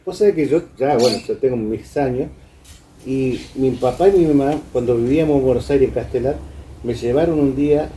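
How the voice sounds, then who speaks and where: A man's voice: medium pitch, a little fast, mellow. A man in his seventies with an Argentine Rioplatense accent, reminiscing casually and often breaking off mid-thought. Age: 70s. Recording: distant from mic, background noise.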